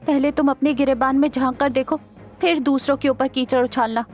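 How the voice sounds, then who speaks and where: A woman playing a fiery, defiant young wife in an audio drama, with indignant, forceful delivery. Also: high voice, steady tone, brisk, faint music behind, lightly acted. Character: fiery, defiant young wife defending her husband and father. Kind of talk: Hindi audio drama acting.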